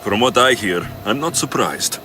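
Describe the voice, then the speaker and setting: A man's voice: fairly low pitch, lively intonation, normal speed, over music, natural voice. A man playing a blunt, confident young mercenary in dramatic video game dialogue. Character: blunt, confident male Spartan-born mercenary.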